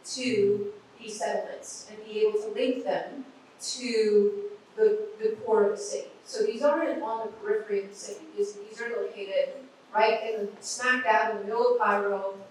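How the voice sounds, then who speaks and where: A woman with a loud voice, giving a relaxed conference talk on urban planning with plenty of ums and sort-ofs. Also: mid-range voice. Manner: conversational, many fillers and hedges. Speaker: invited speaker on urban planning. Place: large event hall. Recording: echoey hall from a distance, faint room noise.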